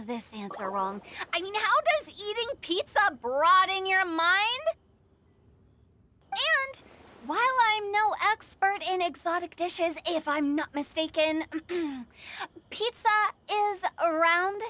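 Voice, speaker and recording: Very high, bright young contest announcer. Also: female voice, exaggerated voice. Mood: skeptical. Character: confident young woman hosting a riddle contest. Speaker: event announcer. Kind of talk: video game voice acting.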